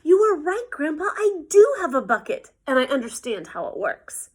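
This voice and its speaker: A woman's voice: high-pitched, big pitch swings, moderate pace, pitched above the performer's own voice. A woman voicing an emphatic, childlike young boy in a children's storybook. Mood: eager. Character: young boy, grumpy then eager, kind-hearted. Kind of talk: children's storybook character reading.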